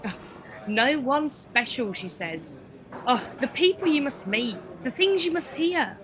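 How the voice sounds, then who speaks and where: A woman's voice: high voice, lively intonation, unhurried, crowd noise, rough recording. A woman playing a nosy, teasing kitchen worker in an audio drama, inquisitive and playful. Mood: eager, nosy.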